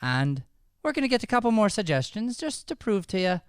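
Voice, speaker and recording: A man's voice: high voice, sing-song, a little fast, smooth, no room sound, studio quality. A man casually announcing a live improv show as its host into a podcast microphone.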